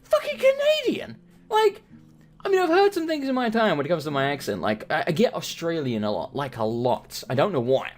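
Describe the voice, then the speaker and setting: Animated, profane British man. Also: on the high side, a little fast, faint music behind. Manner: profane, joking, animated storytelling. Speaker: gaming video host. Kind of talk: let's play commentary, rambling anecdotes.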